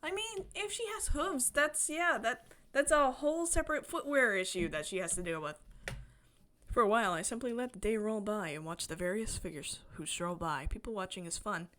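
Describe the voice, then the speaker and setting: A woman game streamer expressively reading a visual novel aloud, breaking into excited, chatty asides. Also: mid-range voice, normal speed. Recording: music behind the voice. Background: game music.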